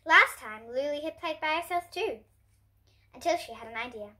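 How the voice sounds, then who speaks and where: A young girl of about ten to twelve reading a children's storybook aloud in an expressive way. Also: sits high, normal speed. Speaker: host reading picture books for kids. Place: indoor room in front of bookshelves.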